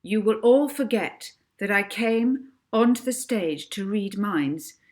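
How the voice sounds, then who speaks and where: Storybook girl hypnotist played by a woman, young, self-assured, theatrical, giving orders, unhurried delivery. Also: medium pitch, darkish tone, lightly acted. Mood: commanding. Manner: theatrical, commanding. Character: confident young girl stage hypnotist, commanding her audience.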